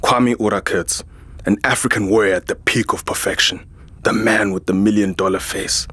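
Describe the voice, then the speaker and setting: A man's voice: lowish voice, fairly even intonation, normal speed, fairly bright tone, breathy edge. A man playing a jaded, vain warrior and security chief, narrating a science fiction audio drama with sardonic weariness. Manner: sardonic, world-weary. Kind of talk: first-person scripted sci-fi narration.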